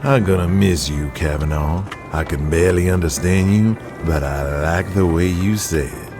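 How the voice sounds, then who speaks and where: A man's voice: moderate pace, over music, close to an everyday voice. A man playing a huge drawling wrestler. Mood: teasing. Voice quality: low, relaxed drawl. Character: huge laid-back male wrestler, drawling. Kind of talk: audio drama acting.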